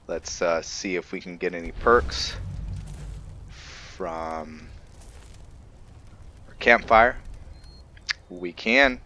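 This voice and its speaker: Deep-voiced, unhurried male gamer. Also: sharp tone, a little hiss or hum. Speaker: gaming video host and player. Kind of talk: casual let's-play roleplay commentary.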